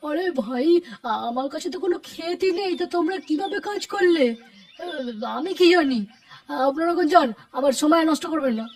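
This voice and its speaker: A woman's voice: very high-pitched, brisk. A woman voicing a sly, dishonest village farmer man in a cartoon, wheedling and stammering in false innocence. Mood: feigned innocence. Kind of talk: cartoon character acting.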